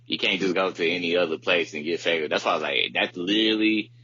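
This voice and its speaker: A man's voice: low-pitched, quick pace, pushed and bright, slightly rough, dry recording. A man in a casual podcast chat, speaking in an emphatic, slangy way, repeating himself and swearing freely. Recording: dull lo-fi audio.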